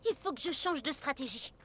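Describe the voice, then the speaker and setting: A woman voicing a rebellious, excitable young boy with a brash, dramatic delivery in an animated cartoon dub. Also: high-pitched, a little hiss or hum, exaggerated voice. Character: rebellious, excitable young boy who loves loud rock.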